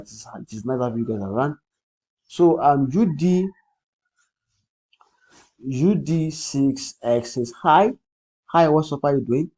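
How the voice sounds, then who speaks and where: A man with a Nigerian accent, a native Yoruba speaker, hosting a casual crypto livestream in a husky voice, repeating phrases and often checking in with "okay". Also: mid-range voice, mellow, low-quality recording. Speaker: crypto trading livestream host. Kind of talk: casual livestream commentary, answering comments.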